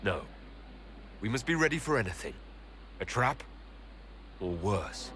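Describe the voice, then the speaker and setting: Grave hero, expressive pitch. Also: male voice, measured pace, a little hiss or hum. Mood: determined. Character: resolute male fantasy hero, grave leader.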